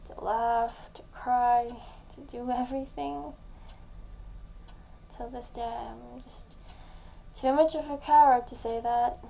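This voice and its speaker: A tipsy young woman played by a voice actress pours out her love to her best friend in an intimate audio drama. Her voice is soft, mellow and fairly high, breathy and halting, with slow, slurred words. There is faint noise behind her. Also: fairly even intonation. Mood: wistful, heartbroken. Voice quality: soft, breathy, often dropping to a whisper. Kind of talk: intimate audio roleplay acting.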